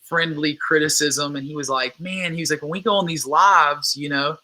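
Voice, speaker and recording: A man in his twenties from Louisiana talks casually as a guest, rambling and earnest, circling back with restarts and fillers. His tone is strikingly bright and ringing. His voice sits a little high for a man. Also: moderate pace. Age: 20s. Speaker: guest musician. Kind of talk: casual interview chat.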